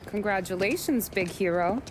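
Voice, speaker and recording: A sassy young woman voiced by an actress in a game drama. She teases drily but with affection, in an average-pitched voice. Noticeable noise sits behind her. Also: animated, moderate pace, natural voice. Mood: playful, teasing. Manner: teasing, wry. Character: sassy young working-class woman, teasing, warm-hearted. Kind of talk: dramatic video game acting.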